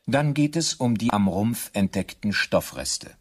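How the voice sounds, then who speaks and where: An actor as the narrator of a historical crime audio drama, formal, sober and factual. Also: male voice, lowish voice, expressive, unhurried, a little hiss or hum. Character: sober male narrator of a historical murder trial. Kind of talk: scripted documentary-drama narration.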